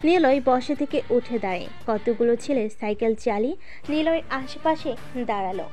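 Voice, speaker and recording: Female storyteller, fairly high voice, steady dramatic narration, faint music. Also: normal speed. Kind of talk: scripted audio story narration.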